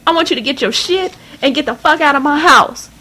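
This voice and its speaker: A woman voicing an enraged, cursing middle-aged mother who shouts through tears. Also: sits high, fairly quick, pitched above the performer's own voice. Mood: furious.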